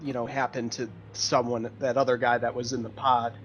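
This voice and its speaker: A man's voice: mid-range voice, little pitch movement, fairly quick, a little hiss or hum. A man talking casually as a player in a tabletop roleplaying game. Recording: dull lo-fi voice chat.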